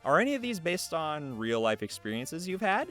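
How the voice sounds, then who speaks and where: A man's voice: fairly high pitch, very animated, moderate pace, fairly bright tone. A man hosting a casual interview, asking questions and chatting. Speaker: interviewer, host. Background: video game music.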